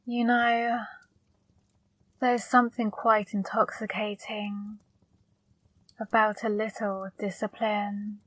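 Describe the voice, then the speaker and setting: A woman playing a flirty, teasing female demon in an intimate ASMR roleplay, speaking softly and breathily, coaxing and gently scolding up close. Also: mid-range voice, no echo, low-quality recording. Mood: seductive, scolding. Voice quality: soft, breathy, partly whispered. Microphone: close microphone, dry.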